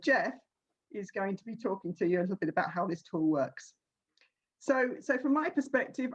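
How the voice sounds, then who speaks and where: A woman's voice: on the low side, muffled recording. A woman hosting an online workshop over a video call, friendly, with fillers and restarts. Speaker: workshop host.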